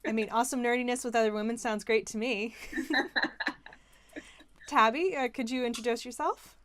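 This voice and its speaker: A woman moderating a panel in an upbeat, quick way, a little distant from the mic. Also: on the high side, fairly bright tone.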